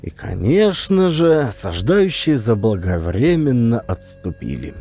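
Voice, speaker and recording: A man narrating an adventure audiobook as a scripted story, with expressive, emphatic delivery. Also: medium pitch, unhurried. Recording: music behind the voice. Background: music.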